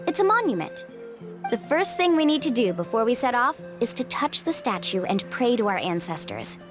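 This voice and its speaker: Casting: bubbly, confident young guide, lively pitch, light music behind. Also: female voice, moderate pace. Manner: upbeat, playful, confident. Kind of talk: video game voice acting. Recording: game audio with music behind.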